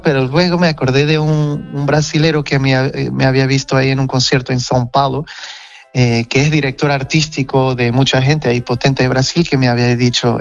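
A man from Portugal with a Portuguese accent, speaking as a guest musician in a relaxed interview, talking frankly and reflectively. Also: mid-range voice, lo-fi sound. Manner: frank, reflective, self-correcting. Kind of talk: casual interview chat.

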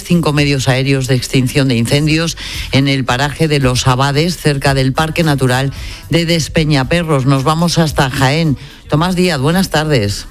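A woman anchoring a radio news bulletin, reading in a measured, formal way. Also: deep voice.

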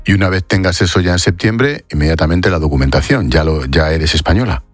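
A man's voice: low-pitched, fairly quick, no room sound, soft background music. A man with an accent from Spain, presenting news and interviewing guests in a broadcast style.

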